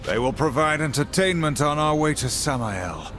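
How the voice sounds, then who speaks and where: A man's voice: a little high, expressive, moderate pace, fairly bright tone, soft background music. An actor playing a grim, dutiful warrior horseman in a video game, terse and formal. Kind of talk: video game cutscene acting.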